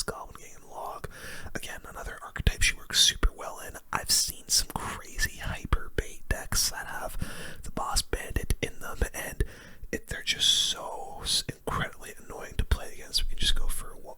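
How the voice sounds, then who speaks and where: A gaming host giving hushed, casual ASMR commentary in a whisper, using relaxed gamer slang.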